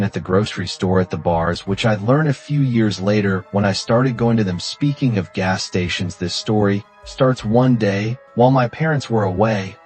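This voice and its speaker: A man with a fairly low voice narrates a scary story in the first person, as a scripted read. Music plays under his voice. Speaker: horror story channel narrator.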